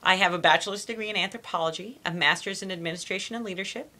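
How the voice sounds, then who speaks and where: A woman's voice: mid-range voice, very fast, sharp tone. A woman from Montreal, a native French speaker, answering interview questions as a foreign language teacher, earnest and dotted with "you know.". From Canada (Montreal).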